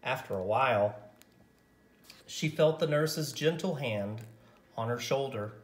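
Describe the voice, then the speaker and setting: A man reading a picture book story aloud in a gentle, measured way with dramatic pauses. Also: mid-range voice, reverberant. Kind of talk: scripted story narration, read aloud.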